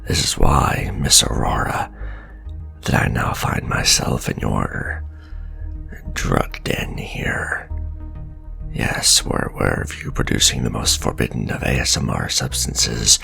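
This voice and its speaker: A man playing a stern, menacing government agent in an ASMR roleplay, speaking in a pompous, deliberate, accusatory way, half whispered. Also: bright, forceful tone, soft background music, heavily acted. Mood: ominous, lecturing. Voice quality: deep, gravelly, breathy near-whisper.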